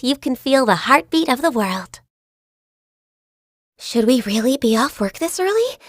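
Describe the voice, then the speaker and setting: Casting: a high-voiced, shy, dutiful young game secretary, soft and earnest, dry studio read. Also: female voice, no room sound. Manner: soft-spoken, earnest, a little hesitant. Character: gentle, shy, diligent young female secretary. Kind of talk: video game character voice lines. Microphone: close studio mic.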